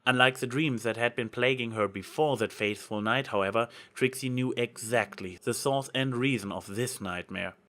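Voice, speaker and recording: A man narrates a piece of fantasy fiction. His voice sits at an average male pitch. He reads in a dramatic audiobook style, as a storyteller. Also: moderate pace, hardly any pauses, a bit bright.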